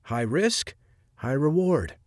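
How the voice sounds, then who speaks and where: A man's voice: a little high, very animated, slow pace, darkish tone, no echo, clean studio sound, voice pushed up. A male audiobook narrator voicing an anxious man who talks in raised, urgent bursts and blames himself. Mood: agitated. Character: harried adult man, anxious and self-reproaching. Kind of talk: audiobook character reading.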